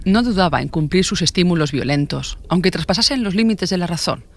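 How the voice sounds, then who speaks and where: A man narrating a scripted true-crime podcast in a measured, formal storytelling manner. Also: sits high, expressive, slight background noise.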